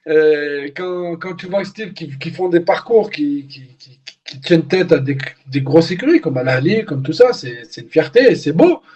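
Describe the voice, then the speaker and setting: Candid former footballer, muffled sound. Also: male voice, fairly high pitch, lively intonation, moderate pace, a little mellow. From France. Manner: candid, repeats words while searching for them. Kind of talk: casual interview.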